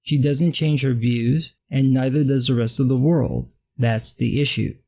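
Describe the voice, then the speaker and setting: A man's voice: mid-range voice, unhurried, vocal fry, dark tone. A man with a dry voice delivering a sarcastic, blunt scripted film commentary. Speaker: film critic vlogger.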